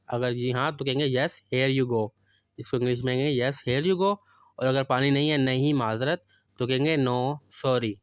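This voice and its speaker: A male English teacher walks through everyday phrases, giving each one in first. His voice is smooth and clear and sits at a middle pitch. The sound is dry and close, with the English words drawn out slowly. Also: dry recording. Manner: explains each phrase in Urdu, then says the English slowly and deliberately. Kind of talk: spoken language lesson.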